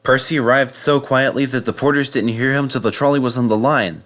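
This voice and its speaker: A man reading a children's story aloud in an animated, scripted narration style. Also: medium pitch, brisk. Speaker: storybook reader.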